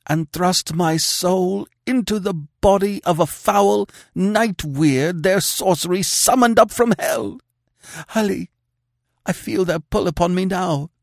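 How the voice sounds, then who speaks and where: A man's voice: high voice, vocal fry, no room sound, studio quality, pitched above the performer's own voice, full character voice. A man voicing a bewitched, dying young king who pleads in anguish and gives imperious orders, in an audiobook reading. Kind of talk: audiobook character voice.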